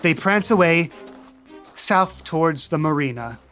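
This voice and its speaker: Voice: mid-range voice, expressive, measured pace, a bit bright, soft background music. A game master hosting a podcast, narrating and announcing in a playful, jokey, casual way.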